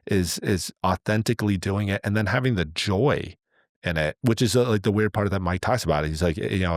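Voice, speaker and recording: A man with a low-pitched voice talks in a dry, close recording. He tells stories casually as a podcast co-host, slipping into quoted remarks in a loose conversational way. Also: no echo.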